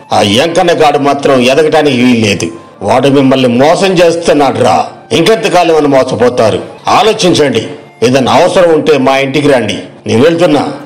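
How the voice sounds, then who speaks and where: A man's voice: mid-range voice, close to an everyday voice. A man playing a jealous villager in a moral story cartoon, scornful and persuasive. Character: jealous, goading village man stirring up workers.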